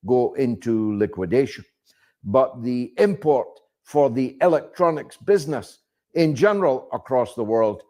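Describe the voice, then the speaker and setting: A man delivering political commentary as a monologue, phrasing deliberately and emphatically, with rhetorical questions and repetition. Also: medium pitch, warm tone. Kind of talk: spoken political commentary to an audience.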